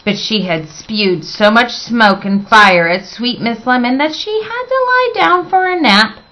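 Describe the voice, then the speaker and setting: Bright-voiced woman reading a children's story. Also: fairly high pitch, expressive, unhurried, highs cut off. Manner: dramatic, emphatic phrasing. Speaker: librarian reading aloud. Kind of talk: expressive children's storybook narration.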